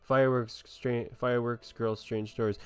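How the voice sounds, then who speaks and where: A man's voice: mid-range voice, little pitch movement, moderate pace, clean tone, dry recording. A young man giving casual, rambling commentary as he plays through a video game. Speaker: gaming video host. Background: game music.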